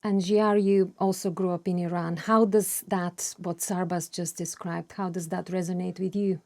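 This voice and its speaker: A woman's voice: on the low side, little pitch movement, normal speed, dark tone. A woman hosting a broadcast interview, asking questions conversationally with some fillers. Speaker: interview host.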